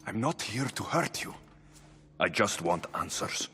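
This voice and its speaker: An actor playing a determined mercenary captain in a video game, firm and quietly pressing. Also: male voice, lowish voice, big pitch swings, a little slow, a little hiss or hum, exaggerated voice. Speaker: game protagonist.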